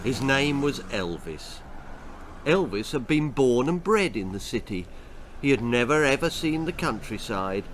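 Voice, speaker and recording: A British man reads a children's tale as its author. His delivery is dramatic and takes its time, with pitch that rises and falls widely. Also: a bit bright, a little hiss or hum. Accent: British English. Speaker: author reading own stories.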